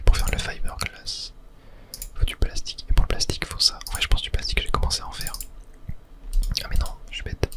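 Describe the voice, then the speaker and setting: A person whispering relaxed ASMR gameplay commentary, murmuring thoughts aloud as they play. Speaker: video game player and host.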